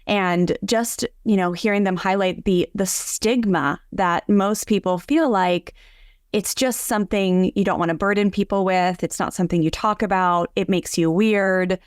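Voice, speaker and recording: A woman's voice: medium pitch, a little slow, no room sound, clean studio sound. A woman from Southern California hosting a conversation, effusive and chatty, with plenty of fillers. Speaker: podcast host. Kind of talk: casual interview chat.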